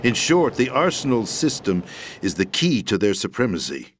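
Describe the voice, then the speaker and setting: An actor playing a commanding yet desperate middle-aged deposed president in a video game, speaking with solemn, deliberate authority. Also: male voice, fairly low pitch, sing-song, slight background noise. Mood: grave, urgent. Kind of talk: dramatic video game acting. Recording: loud music over the voice.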